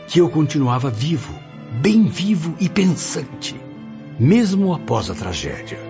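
A man's voice: lowish voice, darkish tone. A man playing the melancholy, proud spirit of a dead writer in a radio soap, with theatrical, literary lamenting. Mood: solemn, earnest. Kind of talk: dramatic radio soap acting. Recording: muffled radio drama with faint music.

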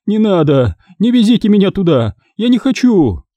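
A man's voice: high-pitched, lively intonation, normal speed, dark tone, dry recording, voice pushed up. A frightened older woman from a crime audiobook, acted out by a man, in a sharply raised voice. She wails and wheedles, flustered and coarse, with swooping intonation. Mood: terrified, pleading. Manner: wailing, pleading, flustered.

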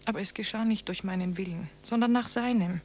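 An actress playing a withered, deranged wife in a radio drama, speaking a haunted monologue in measured, sometimes hushed phrases. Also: female voice, mid-range voice, a little hiss or hum, natural voice. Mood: haunted, resigned.

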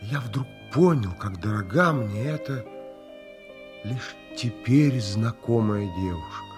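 An actor playing an earnest, composed gentleman hero who narrates a seafaring audio play in an expressive, theatrical way. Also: mid-range voice, slow pace, over music. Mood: reflective, grave.

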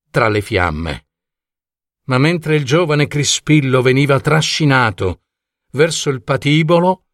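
A man reading a fairy tale for children aloud in a slow, expressive storybook way. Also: medium pitch, dry recording, studio quality.

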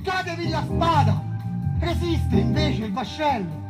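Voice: sits high, very animated, slow pace, heavily acted. A performer playing a fervent Roman commoner recounting a bloody siege, emphatic and rhythmic, with a Roman accent, over guitar music. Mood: grim, proud. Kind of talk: dramatic stage storytelling over live music. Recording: live guitar music under the voice.

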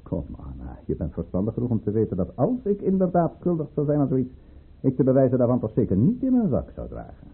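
Suave, menacing aristocratic schemer, swooping intonation. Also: male voice, medium pitch, huge pitch swings, breathy edge, full character voice. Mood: stern, controlled. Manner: suave, formal, coldly commanding, deliberate. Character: scheming, menacing male governor and aristocratic lover at tsarist court. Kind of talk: Dutch radio drama acting. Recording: muffled, tinny old radio play recording.

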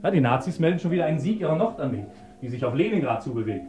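Eager chatty male assistant, very animated. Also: on the high side, racing, soft background music. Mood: chatty, opinionated. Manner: chatty, ingratiating, deferential to his superior. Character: eager, talkative pro-Soviet male assistant at a research institute. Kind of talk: German radio play acting.

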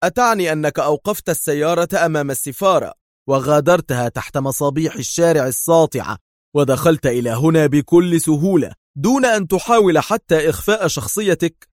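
A man's voice: mid-range voice, fairly quick, no echo, studio quality, close to an everyday voice. A man playing a hot-tempered, suspicious agent in a spy story, nervous, indignant and argumentative. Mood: incredulous. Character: hot-tempered, suspicious male foreign agent, easily outraged.

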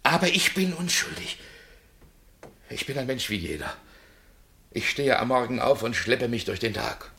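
A man's voice: medium pitch, big pitch swings, normal speed, gravelly, long pauses, bright, forceful tone, exaggerated voice. An actor playing a desperate condemned man who pleads his innocence with theatrical passion in a radio play. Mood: anguished, pleading. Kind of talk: 1960 German radio drama acting.

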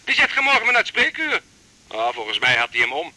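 An actor playing a fretful, stubborn husband on the phone, plain-spoken, agitated and insistent, in a Dutch radio drama from the eighties. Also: male voice, on the high side, huge pitch swings, fast, sharp tone, dry recording, full character voice, rough recording. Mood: insistent, plaintive. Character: fretful, stubborn married man phoning about his cat.

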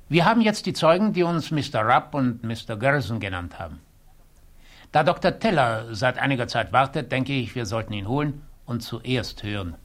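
A man's voice: mid-range voice, lightly acted. A male actor in a 1960s German radio drama playing the chairman of a hearing, polite, orderly and official.

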